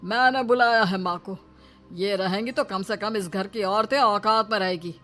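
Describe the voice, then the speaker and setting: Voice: mid-range voice, moderate pace, sharp tone, close to an everyday voice. An actor playing an overbearing, bullying middle-aged father-in-law in a story, sarcastic and domineering. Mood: sarcastic anger. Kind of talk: dramatised Hindi moral story. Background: music.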